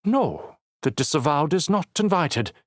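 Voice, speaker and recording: A man's voice: a little high, sing-song, unhurried, no room sound, studio quality, pitched above the performer's own voice. A man voicing a sneering haughty councilwoman. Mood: disdainful. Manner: clipped, disdainful.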